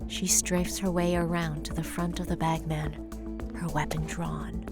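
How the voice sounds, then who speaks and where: A woman's voice: fairly low pitch, little pitch movement, unhurried, over music. A woman narrator delivering dramatic scripted narration for an audio story.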